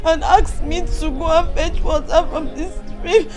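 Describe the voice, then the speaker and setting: A sobbing young daughter. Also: female voice, very high-pitched, fairly even intonation, a little slow, darkish tone, faint music behind. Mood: tearful. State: crying. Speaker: actor.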